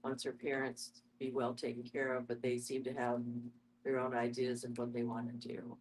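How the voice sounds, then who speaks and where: A woman's voice: a bass voice, completely flat delivery, normal speed, darkish tone, a little hiss or hum, rough recording. A woman taking part in a casual group discussion, offering reflective comments. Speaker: discussion participant.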